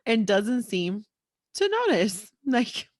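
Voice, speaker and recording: A woman's voice: fairly high pitch, very animated, slow pace, no room sound, studio quality. A woman hosting a podcast in casual chat, with a frank, playful and teasing way of talking. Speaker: podcast host.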